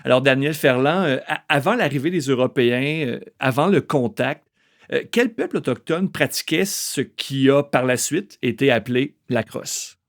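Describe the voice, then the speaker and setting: A man's voice: medium pitch, moderate pace. A male podcast host, asking questions politely with frequent hesitations and fillers. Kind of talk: interview hosting.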